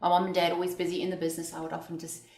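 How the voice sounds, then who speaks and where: A South African woman speaks quickly with a somewhat low voice and lively expression. She is a guest on an interview, recorded indoors in a room with a noticeable echo. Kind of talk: conversational interview. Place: indoors at a home.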